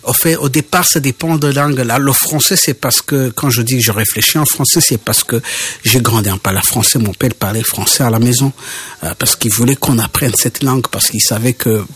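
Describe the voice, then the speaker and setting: Husky middle-aged Rwandan man, Kinyarwanda native, lively and halting, mid-pitched. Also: dry recording. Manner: animated, repeats words, hesitant fillers. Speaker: interviewee, singer. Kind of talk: casual interview conversation.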